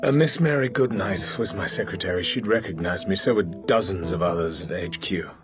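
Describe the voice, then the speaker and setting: An actor playing a terse, weary British male secret agent in a radio drama, clipped and deliberate. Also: low-pitched, music in the background, natural voice. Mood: guarded.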